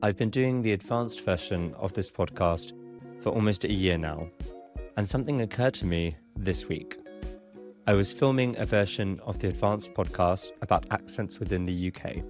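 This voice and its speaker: A young adult man with a southern English accent, an English teacher hosting a learner podcast in a clear, measured, explanatory way. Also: low voice, a little mellow, soft background music. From England. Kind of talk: scripted podcast narration for learners.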